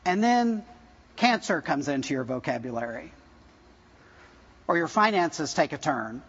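A man's voice: a little high, sing-song, unhurried, a bit bright, husky, muffled recording. A man in his fifties preaching a sermon to his church, talking casually, joking and asking the congregation questions. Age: 50s. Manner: conversational, jokey, asks the congregation questions. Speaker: pastor.

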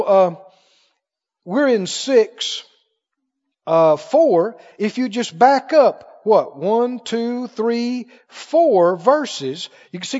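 High-voiced preacher in an echoing hall. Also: male voice, darkish tone. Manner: emphatic, quizzing the congregation with short questions. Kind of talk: sermon, Bible teaching. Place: large church hall, before a congregation. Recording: muffled, echoing large hall.

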